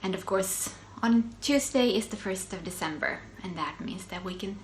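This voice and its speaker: A woman's voice: mid-range voice, moderate pace, a little hiss or hum. A middle-aged woman from Finland with a Finland-Swedish accent, Swedish native, hosting a casual knitting podcast in a chatty way, with many ums and small laughs. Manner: chatty, self-interrupting, soft laughs, frequent ums. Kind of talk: casual solo video podcast.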